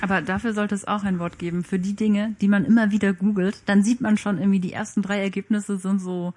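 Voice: mid-range voice, flat delivery, fast, darkish tone. A podcast guest or co-host making brief, joking remarks in casual chat.

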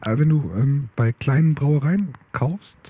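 A man with a head cold talks in a rough, husky voice that sits dark and mellow. He chats in a relaxed way, chuckling now and then and filling pauses with "ähm". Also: medium pitch. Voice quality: rough, slightly raspy. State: hoarse with a cold. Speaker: podcast co-host. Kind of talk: casual chat. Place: outdoor terrace. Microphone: own microphone.

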